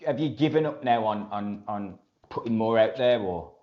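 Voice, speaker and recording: A man interviewing a guest, asking gentle questions and offering support. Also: fairly low pitch, moderate pace, muffled recording.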